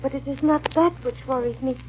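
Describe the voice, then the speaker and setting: A woman's voice: high-pitched, fairly even intonation, brisk, noisy background, close to an everyday voice. A woman playing a loyal, worried maidservant in a 1940s radio drama, heard on a muffled old broadcast recording. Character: loyal maidservant companion, worried but stubbornly devoted.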